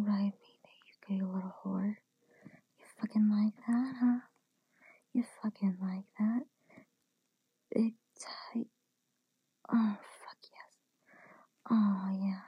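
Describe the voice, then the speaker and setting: Adult woman for intimate audio roleplay: very husky, breathy whisper with fry, commanding and teasing delivery. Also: medium pitch, no room sound, dull audio. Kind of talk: whispered erotic audio roleplay, addressing the listener.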